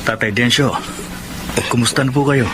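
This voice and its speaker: A man's voice: medium pitch, fairly even intonation, moderate pace, over music, natural voice. A man playing a devoted young suitor with a Filipino accent in a radio drama, polite and earnest. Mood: earnest, respectful.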